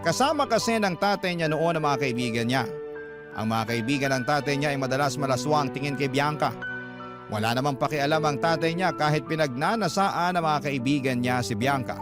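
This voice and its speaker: A man's voice: on the high side, fairly quick, close to an everyday voice. A man narrating a sad confessional letter as a sympathetic storyteller in a Filipino radio drama, with a Filipino accent. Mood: somber, sympathetic. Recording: prominent music bed under narration.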